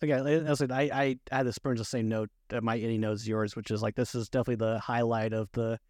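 Guy hosting a chatty film podcast, kinda halting n repeats himself, mid-pitched, dry recording. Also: level tone, a little mellow, no echo. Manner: halting, repetitive delivery. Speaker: podcast host. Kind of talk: casual movie review chat.

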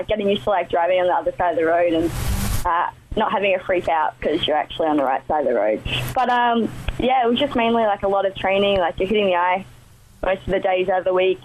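A young Australian woman answering interview questions in a relaxed way, full of "uh" and "like". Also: medium pitch, quick pace, slight background noise, low-quality recording. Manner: relaxed, lots of "uh" and "like". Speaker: ice hockey player guest.

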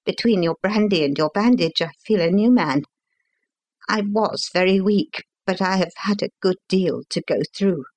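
Brief: woman doing a pale young gentleman engineer, shaken and agitated, quick and strained, for a storybook reading. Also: mid-range voice, lightly acted.

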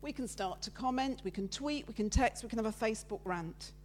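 A woman preaches with a loud, lively voice that sits a little high. She chats freely with the people in front of her and works through slides in an informal sermon. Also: fairly quick, a little hiss or hum. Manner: loud, lively, chatty with the congregation. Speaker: preacher giving a talk at church. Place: church meeting room with listeners present.

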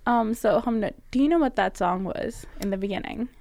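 A young woman co-hosting a casual podcast, animated and quick to laugh, with lots of "like" fillers. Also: medium pitch, vocal fry. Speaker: podcast co-host. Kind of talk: casual chat.

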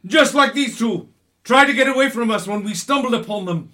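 A man playing a suspicious, unyielding soldier on guard in a radio play, challenging and forceful. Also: extremely high pitch, expressive, brisk, full character voice.

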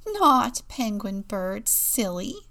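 Woman putting on a squeaky, gushing young penguin girl. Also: sing-song, very slow, pushed and bright, heavily acted. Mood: reassuring. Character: bubbly, gushing young female penguin, friendly and confident.

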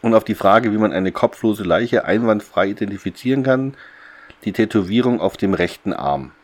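A man's voice: lowish voice, moderate pace. A man co-hosting a podcast, recapping a radio play in casual conversation. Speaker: podcast co-host.

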